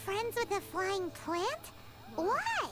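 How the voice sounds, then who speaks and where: A woman voicing a tiny, childlike, excitable floating fairy companion in an anime-style video game, with a squeaky, blunt delivery. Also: extremely slow, heavily acted. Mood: surprised, indignant. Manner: refers to self by name, exclaiming.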